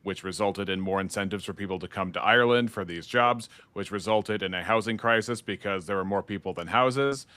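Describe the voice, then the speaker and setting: A man's voice: fairly low pitch, a little fast, few pauses, pushed and bright, clean tone, dry recording. A man hosting a casual panel discussion, explaining points at length and then handing over to his guests. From United States.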